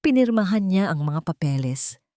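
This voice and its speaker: Dramatic woman storyteller with a lowish voice. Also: very animated, moderate pace, dark tone, no room sound, clean studio sound. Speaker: storyteller narrator. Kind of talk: scripted dramatic narration of a romance story.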